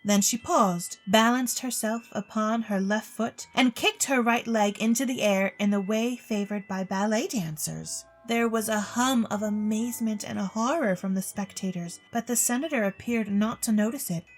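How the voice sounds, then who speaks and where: A woman narrating a scripted storybook tale in an expressive storytelling manner. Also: on the high side, moderate pace, few pauses, faint music behind.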